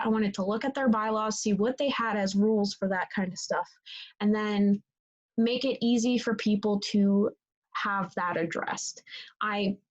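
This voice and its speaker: Young woman, native Italian speaker, student-leader interviewee; mid pitch, rambling, lo-fi sound. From Italy. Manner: rambling, many fillers and restarts. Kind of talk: casual interview answer.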